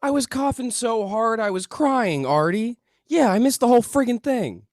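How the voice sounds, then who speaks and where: A man's voice: very high voice, animated, normal speed, darkish tone, no room sound, clean studio sound. A man acting a dejected stoner UFO hunter in a comedy table read, grumbling in a self-pitying slacker way. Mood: dejected, frustrated.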